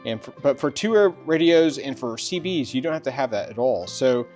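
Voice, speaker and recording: Male host, middle-aged, medium pitch. Conversational, informal review delivery that circles back over phrases. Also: lively intonation, brisk, darkish tone, soft background music. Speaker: video host. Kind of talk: casual product review chat.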